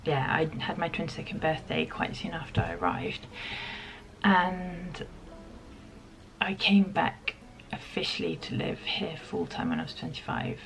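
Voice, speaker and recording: A woman in her thirties from the UK, chatting reflectively to camera in a home vlog, pausing often with ums. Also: low voice, big pitch swings, vocal fry, poor audio. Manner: reflective, soft-spoken, many pauses and ums. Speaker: vlogger. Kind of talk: casual chat to camera.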